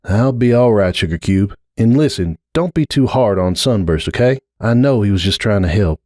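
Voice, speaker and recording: A man's voice: on the low side, expressive, normal speed, a little mellow, roomy sound, in a large hall, lightly acted. A man voicing a steadfast, plain-spoken female farm pony in a dramatic story reading, folksy and full of regret. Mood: resigned.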